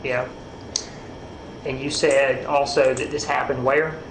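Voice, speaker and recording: A man's voice: medium pitch, flat delivery, takes it slowly. An American man working as a police interrogator, asking short, probing questions. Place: indoor police interview room. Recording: echoey, noisy interrogation room audio.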